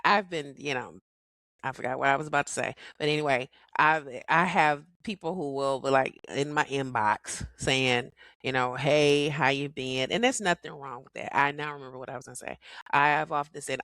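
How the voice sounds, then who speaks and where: A middle-aged woman hosting a casual solo podcast, sassy and animated, her voice hoarse from a cold. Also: extremely low pitch, no echo. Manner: animated, sassy, laughs often. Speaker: podcast host.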